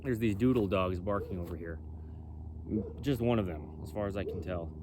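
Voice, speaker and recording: A man with a loud, carrying voice explains things off the cuff. He talks boldly and emphatically, circling back to repeat his points. There is some ambient noise behind him. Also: low-pitched, moderate pace. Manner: bold, emphatic, repetitive. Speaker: presenter for a clothing company. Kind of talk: impromptu explainer on body mechanics.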